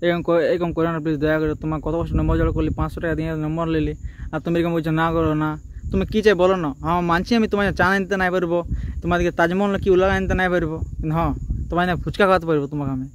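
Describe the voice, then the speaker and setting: Very fast-talking, high-pitched young Bengali man. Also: a little hiss or hum.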